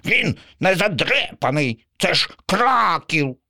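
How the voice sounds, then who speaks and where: Storybook crow: dreamy, huffy lady bird, drawn-out rapturous lines, voiced by a man. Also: high-pitched, big pitch swings, gravelly, bright, forceful tone, no room sound, studio quality, voice pushed up, heavily acted. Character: dreamy female crow, dramatic and restless.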